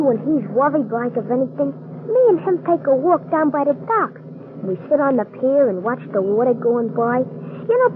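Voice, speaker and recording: A woman voicing an eager, streetwise young boy with slangy, earnest talk, in 1940s radio acting. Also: high voice. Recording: tinny lo-fi old radio broadcast with hiss.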